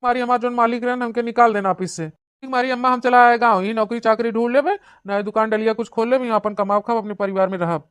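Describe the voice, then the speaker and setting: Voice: medium pitch, steady tone, fast talker, a bit bright, clear, resonant tone, dry recording, studio quality, natural voice. An actor playing a lovesick, pleading young village brother-in-law in a comedy cartoon, gushing and wheedling in an Awadhi accent. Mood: plaintive. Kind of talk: cartoon comedy acting in rural dialect.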